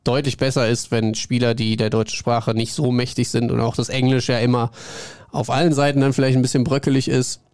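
A man with a cold, a sports reporter chatting as a guest in a podcast studio in a brisk, matter-of-fact way. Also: mid-range voice, flat delivery, darkish tone, clear, resonant tone, dry recording. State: has a cold. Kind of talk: casual chat.

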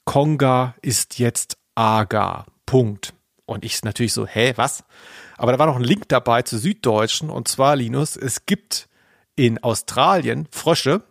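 A man talks casually as a podcast co-host. His mid-pitched voice has plenty of gravelly fry and is recorded close and dry. His delivery is tongue-in-cheek, with a dry sense of humour. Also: a little slow, no echo. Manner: dryly humorous, tongue-in-cheek. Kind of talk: casual podcast chat, telling anecdotes.

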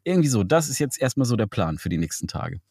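A man co-hosting a podcast in casual chat, talkative and quick to laugh. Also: medium pitch, sing-song, darkish tone, husky, no room sound. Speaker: podcast co-host.

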